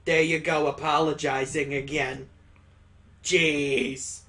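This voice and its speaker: A bossy young daughter from a visual novel, as a game streamer puts her on, blunt and teasing. She scolds and orders people around in a mid-pitched voice. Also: little pitch movement, slow, a bit bright. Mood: scornful, scolding. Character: bossy, teasing birthday girl, mocking her father.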